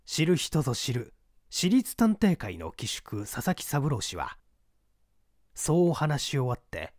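Expressive male mystery-story narrator. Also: mid-range voice, dry recording, studio quality. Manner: measured storytelling with dramatic asides. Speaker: reader.

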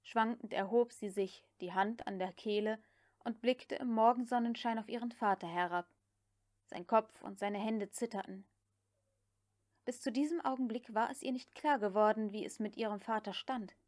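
A woman's voice: a little high, moderate pace, a little mellow. A woman reading a novel aloud as an audiobook narrator, in scripted literary narration.

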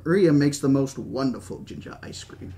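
A man's voice: mid-range voice, moderate pace, creaky, warm tone, slight background noise, natural voice. A man voicing a stubborn, grandiose old park founder in his seventies, with an attempted British accent and a genteel, speechifying manner. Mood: genial, dismissive. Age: 70s.

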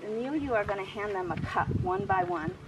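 A woman pastor in her sixties leading an outdoor service, projecting with deliberate phrasing, recorded on a camera from a distance. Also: fairly high pitch, sing-song, gravelly, background noise. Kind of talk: outdoor church service, communion liturgy and informal asides. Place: open grassy campground, outdoors. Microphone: camera several metres away.

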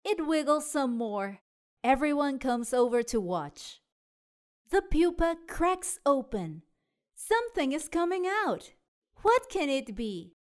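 A woman tells a children's story with a high, very lively voice. She takes her time over each phrase and speaks expressively, as a storyteller does for small children. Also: slow pace. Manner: expressive, child-directed delivery. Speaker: storyteller and show host. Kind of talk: read-aloud children's storybook narration.

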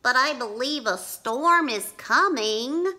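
A woman voicing a gentle, bookish young boy bunny who politely pleads and explains in a storybook read-aloud. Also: high-pitched, lively intonation, takes it slowly, voice pushed up, exaggerated voice. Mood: earnest.

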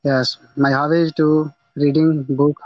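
A young man with an Indian accent chats as an English learner, piecing together simple, halting phrases. His voice sits slightly high. The lo-fi call audio is dull and dry, captured outdoors on a phone. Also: level tone, no echo. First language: not English. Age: young adult. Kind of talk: casual practice conversation. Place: outdoors in a garden. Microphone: phone at arm's length, video call.

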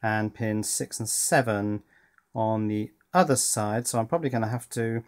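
A man's voice: lowish voice, normal speed. British man thinking aloud, casual and hesitant. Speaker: electronics hobbyist presenter. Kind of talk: casual hands-on project narration. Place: indoors at a workbench.

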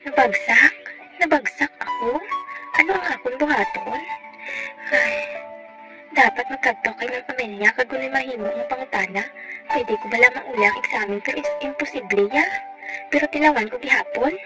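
A woman's voice: a little high, moderate pace, pauses often, natural voice, rough recording. A woman playing a troubled, apologetic young student in an amateur radio drama. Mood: anxious, brooding. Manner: pleading, apologetic. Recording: loud music behind the voice.

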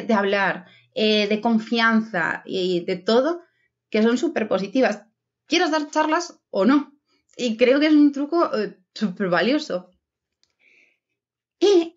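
A woman gives a chatty, encouraging keynote from home over a video call, with many fillers. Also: fairly high pitch. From Spain. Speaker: keynote speaker. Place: home room with red curtains. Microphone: webcam video call. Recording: dull lo-fi video call audio.

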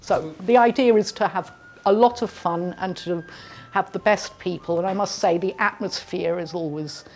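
Slightly low-voiced middle-aged woman, interviewed. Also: normal speed, a little mellow. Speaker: musician who organises an orchestra. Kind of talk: conversational on-camera interview. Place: indoor room with brick walls, bar behind. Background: background music.

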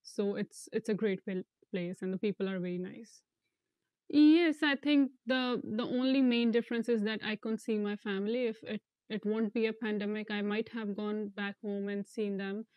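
A young woman from India, an international student, speaking earnestly in an interview with some halting restarts, on a lapel mic. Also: medium pitch, fairly even intonation, a little mellow, no room sound. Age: young adult. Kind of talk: casual interview talk.

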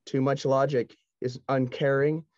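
Middle-aged male panelist, medium pitch, earnest and emphatic, close dry headset on a video call. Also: little pitch movement, measured pace, darkish tone, no echo. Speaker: discussion panelist. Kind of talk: earnest explanatory discussion. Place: indoors, dark backdrop. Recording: dull video call audio.

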